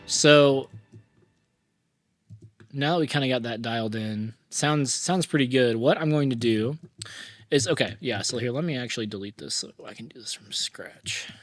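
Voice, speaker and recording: A man hosting a casual music-mixing tutorial, hoarse from a cold, talking in a chatty way with lots of fillers and restarts. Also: medium pitch, moderate pace, no echo, studio quality. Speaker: mixing engineer tutorial host. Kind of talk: casual screen-recorded tutorial.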